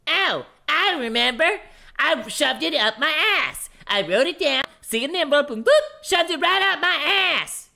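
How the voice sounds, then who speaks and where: A man's voice: very high voice, animated, a little slow, vocal fry, pushed and bright, voice pushed up, exaggerated voice. A man voicing a childish, bratty cartoon boy.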